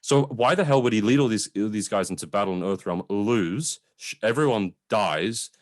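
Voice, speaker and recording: A young man in casual film discussion as a co-host, giving measured opinions and posing questions. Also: fairly low pitch. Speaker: film review podcast co-host.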